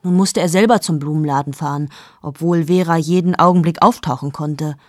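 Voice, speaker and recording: Actress voicing a low-voiced, amoral woman journalist in her late 30s, confiding in hushed tones, dry studio radio play. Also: fairly quick, dry recording, natural voice. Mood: anxious. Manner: intimate, addresses the listener, slips into whispers. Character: cold amoral female journalist, 38, confessing. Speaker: radio drama lead.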